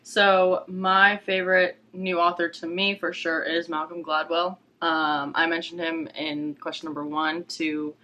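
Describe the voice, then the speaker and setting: Young woman w bright voice, casual book vlog chat, kinda hesitant, hunting for words. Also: on the low side, level tone, a little slow, hardly any pauses. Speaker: book vlogger.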